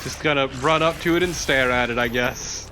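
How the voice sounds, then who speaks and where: Wry man bantering, medium-pitched. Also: moderate pace, bright, forceful tone, a little hiss or hum. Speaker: let's play co-commentator. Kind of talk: casual banter.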